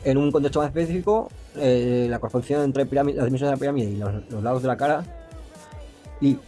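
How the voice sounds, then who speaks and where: A man explaining geometry step by step, pausing and restarting often and stumbling when he reads English passages aloud. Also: mid-range voice, warm tone, soft background music. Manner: hesitant, with frequent restarts and fillers. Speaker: math explainer.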